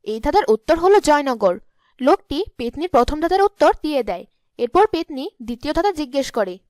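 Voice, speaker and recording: Woman narrating a cartoon tale, really high voice, dry studio-like sound. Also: very animated, a little fast, darkish tone, dry recording. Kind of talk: scripted cartoon story narration.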